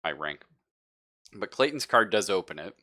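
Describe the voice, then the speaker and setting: A man with a low, bright, forward voice works as game master. He describes scenes off the cuff in informal, halting phrases, thinking aloud as he goes. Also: lively intonation. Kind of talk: improvised narration of a tabletop roleplaying game.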